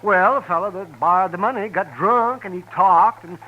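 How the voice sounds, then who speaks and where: An American man playing an excitable, evasive frontier town doctor, rambling and folksy, in a vintage radio western. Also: high voice, moderate pace, highs cut off, slight background noise, close to an everyday voice. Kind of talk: 1950s radio western character acting. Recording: vintage 1950s radio recording.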